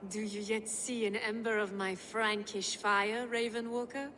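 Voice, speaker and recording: A woman's voice: medium pitch, flat delivery, unhurried, a bit bright, close to an everyday voice. Actress as haughty noblewoman in an echoing hall. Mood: sardonic. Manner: haughty, sardonic, theatrical. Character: Estrid, proud, fiery Frankish noblewoman, lord's sardonic wife. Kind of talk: video game cutscene acting. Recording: long hall echo, background noise.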